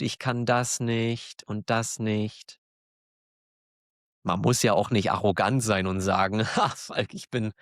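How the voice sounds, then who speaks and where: A man with a German accent, hosting a podcast for learners, talking slowly and clearly in a warm, encouraging way and laughing now and then. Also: lowish voice, dry recording, studio quality. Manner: encouraging, self-deprecating, laughs often. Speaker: German teacher, podcast host. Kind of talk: slow, clear monologue for learners.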